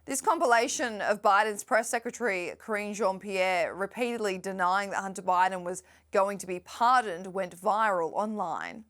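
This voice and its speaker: A young adult woman presenting a scripted news roundup in a brisk, lightly sardonic manner. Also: mid-range voice, a bit bright.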